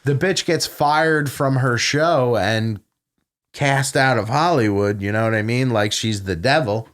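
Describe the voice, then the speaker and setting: A man's voice: medium pitch, a little slow, fairly bright tone. A man in casual podcast chat, ranting and swearing heavily. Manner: profane, ranting. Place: home podcast studio.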